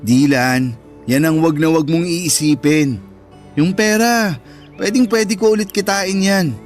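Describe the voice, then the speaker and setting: Man acting a high-voiced, anguished protective brother. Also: unhurried, natural voice. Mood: reassuring. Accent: Filipino. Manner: emotional, pleading, earnest. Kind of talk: Tagalog radio drama acting. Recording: background music throughout.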